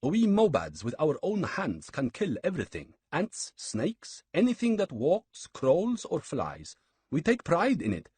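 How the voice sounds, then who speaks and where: A man putting on a high-voiced evasive priest. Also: huge pitch swings, measured pace, darkish tone, slightly rough, dry recording, heavily acted. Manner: raised, sing-song, evasive. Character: evasive male Persian priest, pious.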